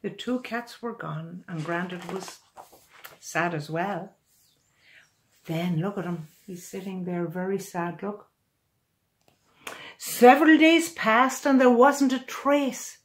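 Slow, very expressive older woman reading a storybook. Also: medium pitch. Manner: animated, expressive, deliberate storytelling. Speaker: children's author reading own picture book. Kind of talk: storybook read-aloud for children. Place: small room at home, indoors.

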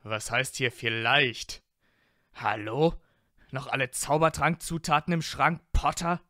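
A man plays a proud young wizard in an amateur audio drama. His voice is bright and sharp at a middling pitch, with no room around it. He is teasing, easily affronted and full of himself. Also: slow pace, dry recording, studio quality. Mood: offended, haughty. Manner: haughty, indignant, teasing. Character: proud, vain young blond male wizard, easily offended, mocking. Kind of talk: fan audio drama acting.

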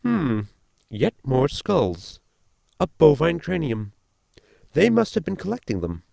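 A man playing a pedantic, eccentric science officer, formal and polite, with a creaky voice, in a sci-fi comedy audio drama. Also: fairly high pitch, huge pitch swings, slow, mellow, dry recording, clean studio sound, full character voice. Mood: thoughtful.

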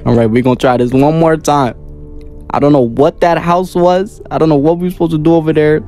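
A man's voice: on the high side, steady tone, brisk, mellow, faint music behind. A young man giving casual, joking, exclamatory commentary on a horror game in slangy speech. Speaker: gamer making videos.

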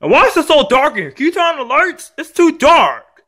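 A man voicing a bratty, defiant young son in an amateur skit, whining and shouting in angry, petulant tantrums. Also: very animated, moderate pace, husky, heavily acted. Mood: shouting, indignant. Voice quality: high-pitched, loud. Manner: whiny, shouting, petulant name-calling.

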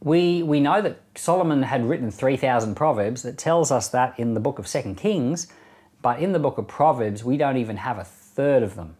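A middle-aged man speaking as a pastor in a measured, explanatory way, reading and explaining scripture. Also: mid-range voice, animated, darkish tone, breathy edge. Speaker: pastor, Bible podcast presenter. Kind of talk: Bible reading and informal commentary. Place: small indoor meeting room, seated.

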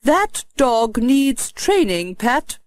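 A woman voicing a sharp-tongued older postmistress with a sing-song manner. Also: sits high, sing-song, extremely slow, no room sound, exaggerated voice. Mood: scolding, advising.

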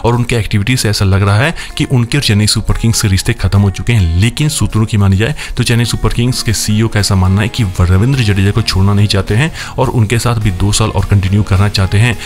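A man with a fairly low voice reads a cricket news roundup at a brisk clip. He keeps a steady newsreader delivery, greeting viewers as friends. The voice-over is recorded close to the mic. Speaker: cricket news channel host.

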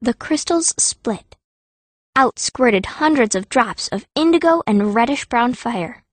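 A woman narrates a first-person fantasy audiobook as its young heroine, with expressive, dramatic storytelling. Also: on the high side, slow pace, no room sound.

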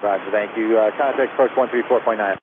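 A man's voice: fairly low pitch, steady tone, quick pace, phone-quality sound. A man working as an air traffic controller, giving clipped procedural instructions over aviation radio. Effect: aviation radio transmission.

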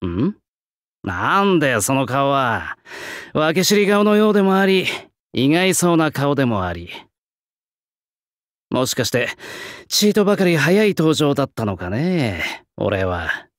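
An actor playing a proud young male swordsmith spirit in an anime-style game, talking in a rough, blunt, old-fashioned working-class way. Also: medium pitch, very animated, a little slow, long pauses, no echo, studio quality. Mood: curious, wry. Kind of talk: anime-style mobile game character lines.

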